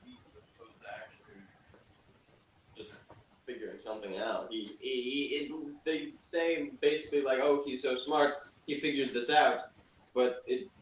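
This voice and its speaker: A man's voice: medium pitch, normal speed. A man chatting casually and debating a TV episode in a contrary, dismissive way, peppering his sentences with "like". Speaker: fan commentator. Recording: roomy, distant mic with faint noise.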